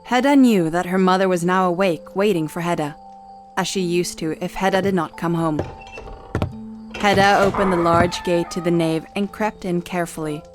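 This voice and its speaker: Female narrator for a fantasy saga, lowish voice, scripted dramatic storytelling over soft music. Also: normal speed.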